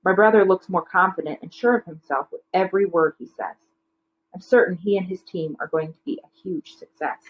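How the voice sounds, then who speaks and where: A woman's voice: on the low side, brisk, phone-quality sound. A woman narrating a children's novel aloud like a teacher, occasionally stumbling over words and correcting herself. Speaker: teacher reading a book aloud.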